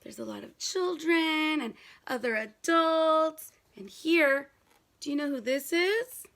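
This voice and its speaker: A woman reads a storybook aloud to young children in a high-pitched, bright voice. She is animated and interactive, putting questions to her listeners and repeating words for drama. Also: slow pace, vocal fry. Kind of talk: animated, interactive picture-book read-aloud.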